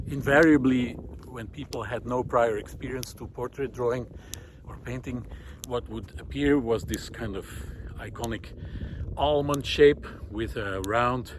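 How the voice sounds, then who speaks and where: A man speaking in a reflective, halting monologue as he walks outdoors, hosting his own video series with thoughtful pauses. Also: mid-range voice. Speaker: host of a walking video series. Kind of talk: reflective monologue while walking. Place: outdoors on a country road in hilly farmland. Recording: outdoor recording with clear background noise. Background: outdoor ambient noise.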